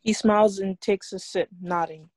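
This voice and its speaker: A woman narrates stage directions from a film script at a table read. Her mid-pitched voice is mellow and dark, with a dry, close sound over a video call. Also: fairly even intonation, normal speed, no echo.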